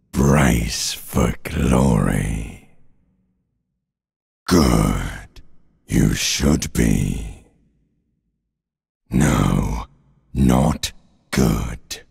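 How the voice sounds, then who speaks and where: An ancient, primal creature of the mind speaks in theatrical game style. The voice is bottomlessly low and thick with guttural fry. It drags its words very slowly, taunting and nihilistic, full of menace. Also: male voice, heavily acted. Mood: ominous, brooding, then mocking, crude. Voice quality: gravelly, very raspy, guttural. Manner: slow, drawn-out, menacing, mocking. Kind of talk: theatrical video game voice acting.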